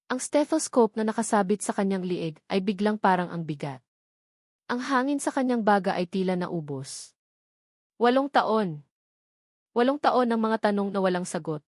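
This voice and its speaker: A woman narrating a scripted romantic drama in a solemn, measured way, pausing for suspense. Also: medium pitch, darkish tone, no echo, clean studio sound. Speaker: story narrator.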